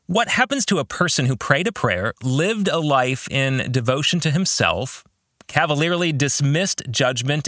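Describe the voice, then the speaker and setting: A man hosting a podcast, giving reflective religious commentary in an earnest, deliberate way with rhetorical questions. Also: mid-range voice, pushed and bright, no echo, clean studio sound. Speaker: podcast host.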